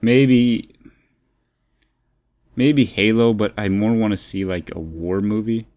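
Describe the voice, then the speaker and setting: A man's voice: fairly low pitch, slow, muffled recording. A man co-hosting a podcast in casual, opinionated discussion, rambling and repeating phrases as he thinks aloud. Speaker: podcast co-host.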